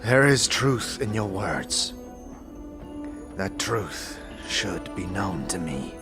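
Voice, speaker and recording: Man playing stoic old warrior, slow formal grave delivery, fantasy drama, music behind. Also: sits low, very animated, full character voice. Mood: solemn, resigned. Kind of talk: fantasy audio drama acting. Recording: music under the voice.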